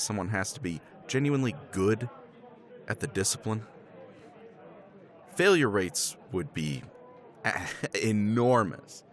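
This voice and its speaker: A man's voice: on the low side, a bit bright. A man playing a professor in a romance ASMR roleplay, teasing and self-deprecating, swearing casually and laughing often.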